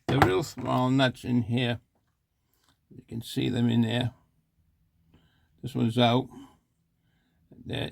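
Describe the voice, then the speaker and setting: Slow, gravelly man muttering over noise. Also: medium pitch. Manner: halting, muttering to himself while working. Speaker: hobbyist reviewer and video host. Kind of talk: casual hands-on teardown commentary.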